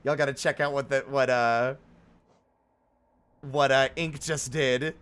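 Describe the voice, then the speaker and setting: A man's voice: medium pitch, fairly even intonation, measured pace, fairly bright tone, smooth. A man chatting casually as he hosts a livestream, playful and theatrical, rambling between greetings and exclamations. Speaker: live streamer, host. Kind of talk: casual livestream chat with viewers.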